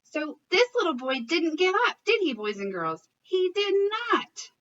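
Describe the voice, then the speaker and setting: A woman reading a picture book aloud as an educator, talking to children and parents in an expressive, encouraging, questioning way. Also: very high voice, a little slow, recorded from a distance, lo-fi sound.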